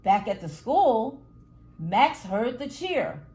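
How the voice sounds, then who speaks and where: A woman reads a picture book aloud to children as a storyteller, with deliberate, dramatic phrasing. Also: on the high side, sing-song, darkish tone. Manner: deliberate, dramatic phrasing with acted sound words. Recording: echoey, roomy sound.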